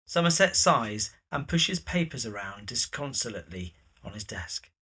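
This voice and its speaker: A man's voice: medium pitch, very animated, normal speed, pushed and bright, breathy edge. A man reading scripted narration for a dramatised story.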